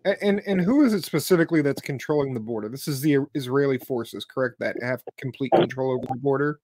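A man's voice: mid-range voice, quick pace, a little mellow, no echo. A young man hosting an interview from a room at home over a video call, eager and full of ums and restarts. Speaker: host, interviewer. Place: home room with bookshelves, indoors. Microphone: webcam on a video call.

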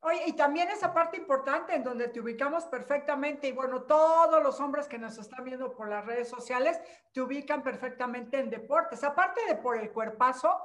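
A woman's voice: sits high, normal speed, a bit bright. A middle-aged woman hosting an interview over a video call, friendly and teasing, with frequent short backchannel replies. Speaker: interviewer, host. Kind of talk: casual interview. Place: home room with bookshelves.

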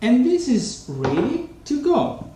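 A man in his forties with a Sicilian Italian accent, hosting a casual home cooking demonstration, unhurried with many hesitations. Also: very high-pitched, huge pitch swings, warm tone, reverberant, slight background noise. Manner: unhurried, hesitant with many fillers, relishing tastes. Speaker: cooking show host. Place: home dining room, indoors.

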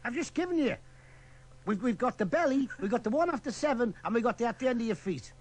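A man's voice: very high voice, lively intonation, fast talker. A man hosting a radio quiz with casual, teasing and exasperated banter, prone to laughter.

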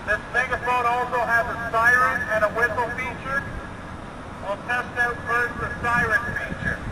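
A man's voice: very high voice, little pitch movement, moderate pace. A young man making product test announcements through a megaphone outdoors, heard by a far-off camera. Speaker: product demonstrator. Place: outdoors across an open lot. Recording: distant megaphone, heavy outdoor noise.

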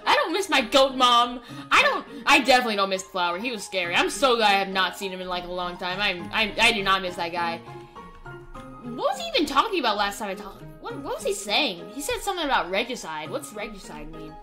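A woman's voice: mid-range voice, very animated, a little fast, pushed and bright, soft background music. A woman doing casual, playful roleplay commentary over a video game, rambling and stammering as she goes. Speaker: gaming YouTuber.

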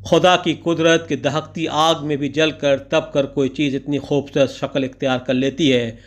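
A man's voice: a little high, flat delivery, normal speed. Man narrating a poetic vlog voice-over. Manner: flowery Urdu-laced phrasing with couplets and praise. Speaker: vlogger narrating a food outing.

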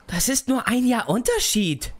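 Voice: medium pitch, sing-song, unhurried. A performer playing an earnest seventeen-year-old boy in love in an audio drama, polite and a little awkward. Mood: polite, nervous. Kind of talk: German audio drama acting.

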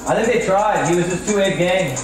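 A man's voice: sits high, brisk, far from the mic. A young man hosting a livestream, chatting and joking casually. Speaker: livestream host. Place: indoor home kitchen, often inside a tent sauna. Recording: very echoey kitchen with music.

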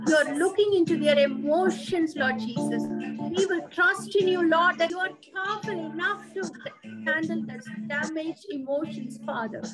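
A woman leading fervent spontaneous prayer at a live gathering, repeating her phrases and ending each with a soft, dropping call to the Lord. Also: moderate pace, over loud music, rough recording. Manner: repetitive, ends phrases with soft low 'Lord Jesus'. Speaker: prayer minister.

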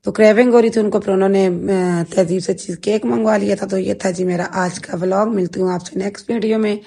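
A woman's voice: medium pitch, fairly even intonation, fairly quick, mellow, breathy edge. A middle-aged woman with a Pakistani accent narrating a casual vlog voice-over, chatting in a rambling way about her day. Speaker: vlogger.

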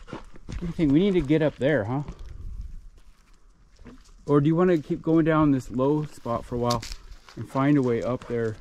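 A man's voice: medium pitch, moderate pace, pauses often, mellow. A man hiking and vlogging outdoors on a rocky hillside, casually thinking aloud about the route. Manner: easygoing, thinking aloud, calling to his dog. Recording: noisy outdoor wind and ambience.

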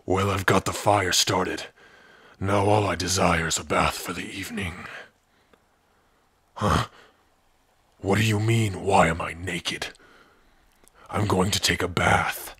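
A man playing a big, gruff orc with a rough, husky voice, teasing yet secretly soft-hearted, in an ASMR roleplay. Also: sits low, fairly even intonation, slow, pauses often, no echo, full character voice. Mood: teasing, relaxed. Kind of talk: ASMR roleplay addressed to the listener.

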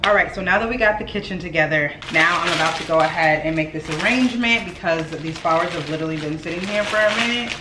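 A woman's voice: lowish voice, fast talker, few pauses, noisy background. A young woman vlogging at home, chatting casually to viewers as she cleans and arranges flowers. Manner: chatty, addresses viewers as y'all. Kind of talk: casual vlog chat. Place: at home, echoey rooms. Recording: echoey home room, faint ambience.

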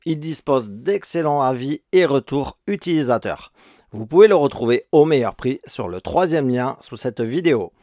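Man, medium pitch, scripted review narration, voice-over, dry recording. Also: expressive, moderate pace, warm tone, no room sound.

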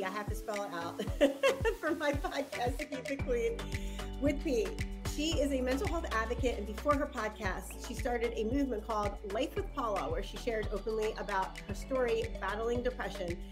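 A woman hosting a podcast, bubbly and enthusiastic, laughing easily as she introduces and chats with her guest. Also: a little high. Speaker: podcast host. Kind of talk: casual podcast interview.

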